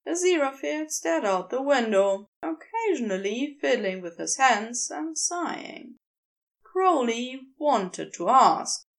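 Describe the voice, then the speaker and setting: Woman audiobook narrator, slow delivery, lively swooping intonation, scripted storytelling. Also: high voice. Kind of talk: expressive scripted fiction narration.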